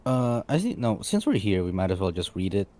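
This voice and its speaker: Man on a stream, deadpan jokes, very deep voice. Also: brisk. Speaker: livestream guest. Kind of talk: casual chat on a livestream.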